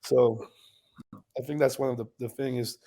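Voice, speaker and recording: A man in his thirties with a French accent, a bodybuilder guest telling stories in a relaxed interview, laughing often. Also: medium pitch, moderate pace, gravelly. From France. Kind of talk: casual interview storytelling.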